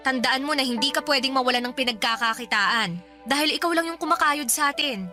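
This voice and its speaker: A woman's voice: high-pitched, fast, natural voice. A woman playing a fed-up, sarcastic young wife and mother in a radio drama, complaining sharply. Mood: scolding. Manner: complaining, sharp-tongued, exasperated. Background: soft background music.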